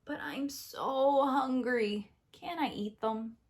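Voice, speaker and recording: A woman's voice: high voice, takes it slowly, a little mellow, pitched above the performer's own voice. A woman voicing a whiny, reluctant fairy-tale boy who complains and talks back in a children's storybook. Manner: complaining, protesting, exclaiming. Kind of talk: children's storybook read-aloud.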